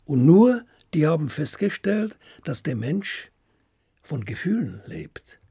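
A man with a husky, gravelly voice giving interview recollections as an author, haltingly, often ending phrases with tag questions. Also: on the high side, big pitch swings, mellow, no room sound, studio quality. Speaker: author of radio plays, guest.

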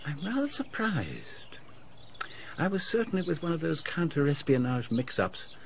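Lilting, well-spoken British gentleman. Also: on the high side, big pitch swings, moderate pace, vocal fry, a little hiss or hum. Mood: curious, musing. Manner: polite, musing, well-spoken. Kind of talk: radio drama acting.

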